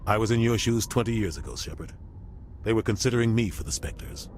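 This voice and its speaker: Give the captain a low, grave voice, played by a man, middle-aged and dutiful, with weary honesty. He talks in an earnest, measured way, as in video game dialogue. Faint noise sits behind him. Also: close to an everyday voice. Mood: regretful, resigned.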